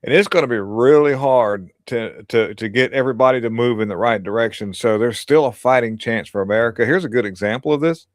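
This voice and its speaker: A man's voice: medium pitch, brisk, few pauses, no room sound, studio quality. A man hosting a casual political livestream, talking bluntly and crudely with lots of emphasis. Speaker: livestream host.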